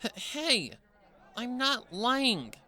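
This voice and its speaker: A man's voice: very high-pitched, huge pitch swings, drawn-out words, sharp tone, a faint crowd, pitched above the performer's own voice, full character voice. A man voicing a bubbly young female pony in a story, an eager, apologetic sister who rambles. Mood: pleading.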